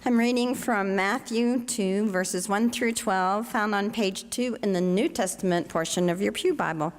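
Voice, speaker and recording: A woman reads scripture to a congregation in a solemn, measured and deliberate way. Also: medium pitch. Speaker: scripture reader at a church service. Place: inside a church sanctuary. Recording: long reverberant church hall.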